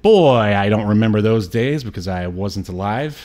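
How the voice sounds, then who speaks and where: A man around forty co-hosting a casual film discussion, rambling and halting as he thinks aloud. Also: lowish voice, lively intonation, a little mellow. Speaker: podcast co-host. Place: indoor room on a video call stream.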